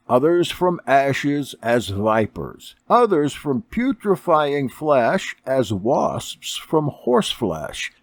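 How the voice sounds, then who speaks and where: A man narrating an audiobook of an ancient religious text in a formal, deliberate, expressive way. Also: on the high side, no room sound. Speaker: audiobook reader.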